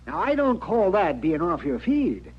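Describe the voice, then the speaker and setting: Husky, crusty frontier doctor. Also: male voice, sits high, very animated, unhurried, exaggerated voice. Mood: exasperated, sardonic. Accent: American. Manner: dry, exasperated. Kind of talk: 1950s radio western acting. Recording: muffled old radio recording.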